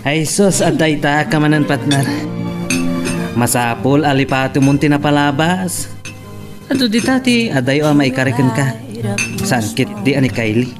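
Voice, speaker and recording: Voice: medium pitch, lively intonation, moderate pace, music in the background, lightly acted. A performer playing a chummy, advising male singing partner in an Ilocano audio drama, with an Ilocano accent. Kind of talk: Ilocano radio-style drama acting.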